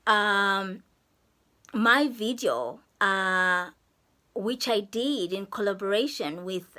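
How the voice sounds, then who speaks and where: Bright, lively woman vlogger from Zambia. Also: on the high side. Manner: chatty and effusive, laughs often. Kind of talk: casual vlog talk to camera.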